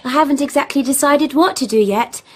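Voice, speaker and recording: A woman's voice: high voice, fairly quick, lightly acted. An actress playing an exuberant, dreamy, impulsive young daughter in a period radio play, gushing out her words. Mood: excited, dreamy. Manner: gushing, breathless listing.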